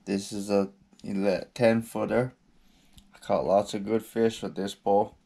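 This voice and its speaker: A young man casually walking through his fishing gear at a table indoors, laid-back and slow, with frequent ums and restarts, picked up by an action camera. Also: on the low side, level tone, creaky, warm tone. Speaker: fishing vlogger.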